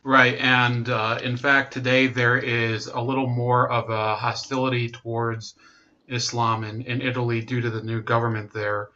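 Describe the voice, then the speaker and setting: Male interviewer, monotone delivery, long rambling questions, slightly muffled recording. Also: medium pitch, moderate pace. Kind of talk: conversational interview.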